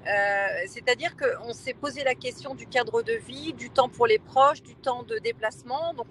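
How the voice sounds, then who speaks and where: High-voiced woman arguing her point. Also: fairly bright tone, slight background noise. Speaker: local elected official, panelist. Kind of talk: panel discussion, explanatory argument.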